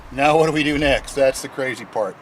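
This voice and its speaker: A man's voice: mid-range voice, fairly quick, slight background noise, low-quality recording. A man giving a folksy, informal hands-on tutorial in a casual, reassuring way while working in a vehicle. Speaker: DIY vlogger demonstrating a car repair. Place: inside or beside a Jeep.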